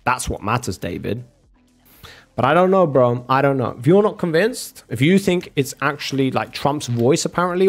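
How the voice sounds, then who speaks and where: A man in a casual argumentative rant, sarcastic and sweary, calling the listener bro. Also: medium pitch. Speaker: streamer arguing with a chat viewer.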